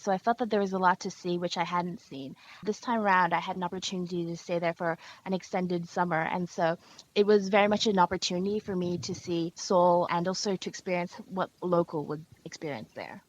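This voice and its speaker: A woman's voice: lowish voice, normal speed. A young woman from Hong Kong and Canada, answering conversationally as a guest in a travel interview. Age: young adult. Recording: dull, noisy remote call.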